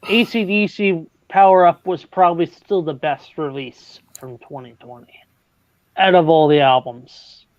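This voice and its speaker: Halting young man, dull dry sound. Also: fairly high pitch, no room sound. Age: 20s. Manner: halting, repeats words, laughs often. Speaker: livestream host. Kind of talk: casual chat.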